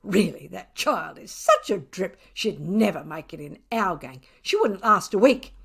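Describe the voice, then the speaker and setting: A woman's voice: fairly low pitch, big pitch swings, unhurried, vocal fry, a bit bright, husky, pitched below the performer's own voice, heavily acted. A woman voicing a smug, scornful pet cat who tells its tale with dramatic, sardonic emphasis in a children's audiobook. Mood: scornful, indignant.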